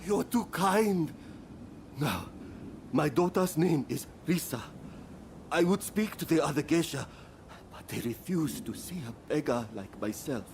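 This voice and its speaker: A slow-spoken, sorrowful old beggar. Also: male voice, fairly high pitch, animated, slight background noise. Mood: humble pleading. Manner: humble, halting, sorrowful pleading. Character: pleading, remorseful ailing beggar father, once prosperous. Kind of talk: dramatic video game dialogue.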